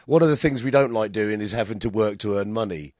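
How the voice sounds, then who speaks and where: A man's voice: fairly low pitch, fast, a little mellow, no echo, clean studio sound. A man, co-hosting a podcast in an easygoing, laughing way. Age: 50s. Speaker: podcast co-host. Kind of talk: casual banter.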